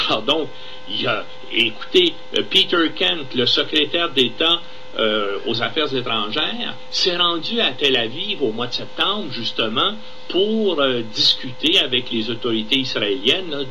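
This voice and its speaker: A man's voice: fairly high pitch, sharp tone, rough recording. A man with a Québécois French accent giving indignant, emphatic political commentary live on the radio. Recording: radio talk with faint background noise.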